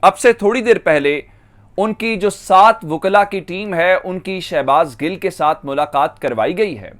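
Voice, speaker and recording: A man's voice: sits high, measured pace, fairly bright tone. A man with a Pakistani accent delivering a political news monologue as an online channel host, stressing and drawing out key phrases.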